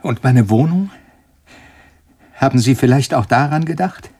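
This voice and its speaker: An actor in a radio drama playing a cornered male scientist, agitated and halting, repeating himself as he panics and pleads. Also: mid-range voice, animated, warm tone, husky. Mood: frantic, demanding. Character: cornered, panicking male molecular biologist pleading with his tormentor.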